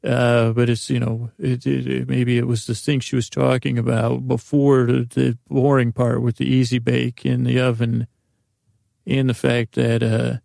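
A man's voice: mid-range voice, little pitch movement, a little slow, vocal fry, dark tone, dry recording, studio quality. A man hosting a podcast, rambling through a comic monologue full of restarts and self-interruptions, acting out imagined exchanges. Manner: acts out imagined dialogues. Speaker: podcast host.

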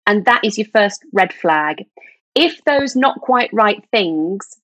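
A woman explains voice care as an interview guest, steady and informative, pausing on "um" now and then. Her voice sits in an ordinary middle range, recorded dry and close with no room around it. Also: medium pitch, no echo. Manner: fluent and informative, with frequent "um" and small restarts. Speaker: vocal health expert guest.

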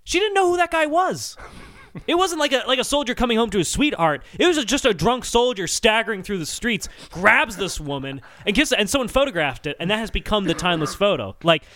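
A man's voice: high voice, big pitch swings, brisk, pushed and bright, no echo, clean studio sound. A man co-hosting a comedy podcast, chatting casually in a teasing, mock-scolding way. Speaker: podcast co-host.

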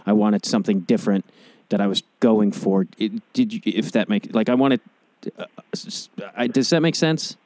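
A man's voice: fairly low pitch, fairly even intonation, normal speed, a little mellow, no echo. A man hosting a casual interview, rambling and swearing casually. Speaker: podcast host.

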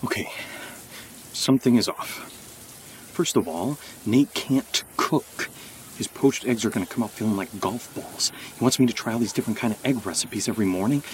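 A man's voice: fairly low pitch, moderate pace, slight background noise, close to an everyday voice, low-quality recording. An actor playing an anxious, sarcastic man who mutters to himself, in a naturalistic audio drama. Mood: suspicious, wry. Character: anxious, suspicious, sarcastic man with memory loss.

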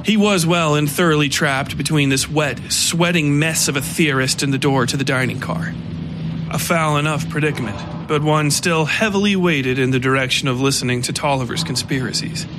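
A man reading dark fiction as a dramatic scripted narration. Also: fairly high pitch, normal speed, noisy background. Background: music.

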